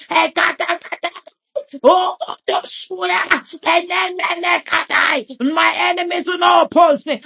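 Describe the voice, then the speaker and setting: A woman leading a fervent prayer, shouting repeated declarations and speaking in tongues. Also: high-pitched, creaky. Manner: chanting, repetitive, declaring. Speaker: prayer leader.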